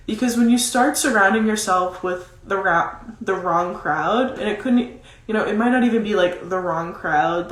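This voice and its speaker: A woman speaks as a motivational coach in a casual, emphatic way. Her voice is nasal and stuffed up with a cold, at an average pitch. She repeats key phrases to drive them home, and the room adds a clear echo. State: congested with a cold. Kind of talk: motivational advice talk, casual. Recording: roomy, echoing sound.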